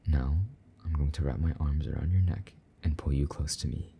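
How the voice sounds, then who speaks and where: A man playing a possessive but tender, lonely demon lover in an intimate romantic audio roleplay, speaking softly and coaxingly in a husky voice. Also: steady tone, a little hiss or hum. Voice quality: very deep, dark, husky. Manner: soft, slow-burning, gently coaxing endearments.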